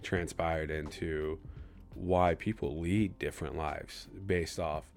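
Deep-voiced young man hosting a podcast. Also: steady tone, takes it slowly, a little mellow. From Kansas City, USA. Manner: earnest, reflective, loosely rambling. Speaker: podcast host. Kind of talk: solo podcast monologue.

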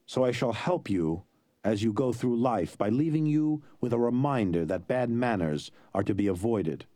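A man's voice: mid-range voice, lively intonation, moderate pace, warm tone, no echo, studio quality, lightly acted. A man voicing a cruel, haughty nobleman in an audiobook.

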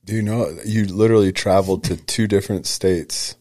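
A man's voice: low voice, steady tone, fairly quick, darkish tone, dry recording. A middle-aged man co-hosting a podcast with his wife, teasing her dryly and answering in short lines. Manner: dry teasing, brief replies. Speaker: podcast co-host. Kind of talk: casual chat.

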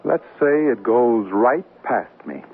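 An actor playing a good-natured, plain-spoken new husband, wry and bemused, in a 1970s radio drama. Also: male voice, mid-range voice, expressive, slow, husky, heavily acted. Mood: dry, puzzled. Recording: muffled, noisy old radio broadcast.

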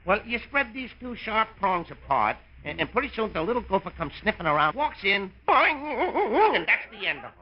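An actor playing a nervous, stammering store clerk who barely keeps calm, in a 1950s radio comedy. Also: male voice, high-pitched, normal speed, few pauses. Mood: exasperated, nervous. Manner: stammering, nervous, flustered hesitations. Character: jittery, long-suffering male store clerk, barely keeping calm. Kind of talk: 1950s old time radio comedy acting. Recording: very noisy, muffled old radio recording.

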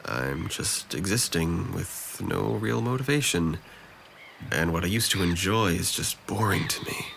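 Low gravelly young man, guarded and sardonic, acted. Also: animated, a little slow, a bit bright, a little hiss or hum, exaggerated voice. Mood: low, confessional. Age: young adult. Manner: dry, self-deprecating, hesitant when confiding. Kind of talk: audio drama acting.

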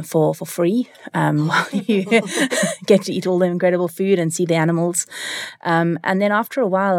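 Reflective South African woman, lowish voice. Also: vocal fry, darkish tone, no room sound. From Cape Town, South Africa. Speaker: interview guest, leadership consultant. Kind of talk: conversational personal storytelling. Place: recording studio.

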